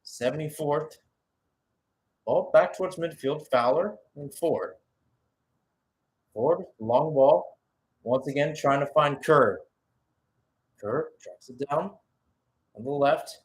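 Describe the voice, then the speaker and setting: A man's voice: on the high side, takes it slowly, long pauses. A man giving live radio-style football commentary, switching between short clipped calls and conversational, analytical asides.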